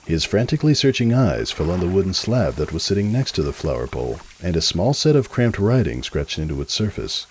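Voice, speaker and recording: A man's voice: low voice, sing-song, fairly quick, hardly any pauses, slight background noise. A man giving a dramatic storybook narration.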